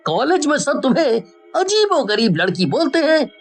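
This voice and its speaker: A woman's voice: sits high, sing-song, moderate pace, faint music behind. A woman voicing a young male college student in a horror story, inquisitive and earnest. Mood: curious.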